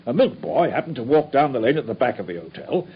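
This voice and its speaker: A man's voice: mid-range voice, very animated, breathy edge, full character voice. An actor playing a dogged, proud male police inspector recounting a case in a radio drama. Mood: earnest. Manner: earnest, storytelling, brisk. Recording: dull old radio recording with faint noise.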